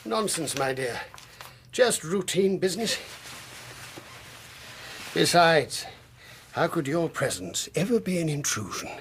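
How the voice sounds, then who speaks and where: An actor playing a proud, blustering older English colonel in a radio drama, with a hearty, pompous military manner of telling things. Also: male voice, fairly high pitch, sing-song, takes it slowly, long pauses, a bit bright, a little hiss or hum, heavily acted. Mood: fond, possessive. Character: proud, blustering older British army colonel, doting husband.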